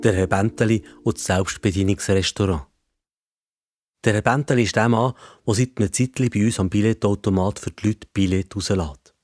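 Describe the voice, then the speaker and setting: A man's voice: fairly low pitch, moderate pace, darkish tone, soft background music. A man with a Swiss accent telling a scripted story for children as narrator.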